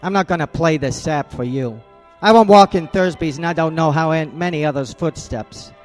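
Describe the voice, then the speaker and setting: A man's voice: on the high side, moderate pace, soft background music, natural voice. An actor playing a cold, hard-boiled male private eye in a radio drama, blunt and clipped. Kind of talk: 1940s-style radio drama acting.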